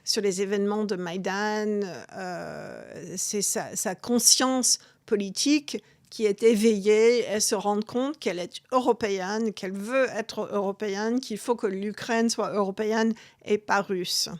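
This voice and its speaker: A woman, talking as a guest author in an interview, halting with many restarts and fillers. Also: medium pitch, steady tone, a little mellow, dry recording, clean studio sound. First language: English. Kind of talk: interview conversation.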